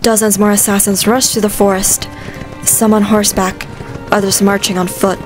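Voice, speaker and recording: Female narrator, scripted storytelling, even intonation, faint music bed. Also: medium pitch, normal speed.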